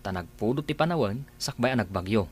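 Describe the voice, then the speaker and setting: A man's voice: fairly low pitch, lively intonation, quick pace, a little hiss or hum, natural voice. A man playing a loyal, deferential farmhand who speaks earnestly to his boss, in a radio drama. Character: loyal farmhand, uncle figure to the boy.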